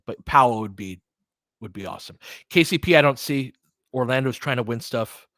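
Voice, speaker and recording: A man's voice: medium pitch, lively intonation, normal speed, fairly bright tone, dry recording, clean studio sound. A man doing informal basketball play-by-play as a host, chatting conversationally and thinking aloud. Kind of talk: casual basketball commentary mixed with chat replies.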